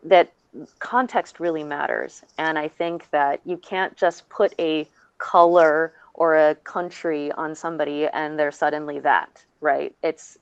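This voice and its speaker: A woman's voice: sits low, vocal fry, clear, resonant tone, no echo. A woman panelist talking casually and emphatically, often checking in with her listeners, on slightly dull audio.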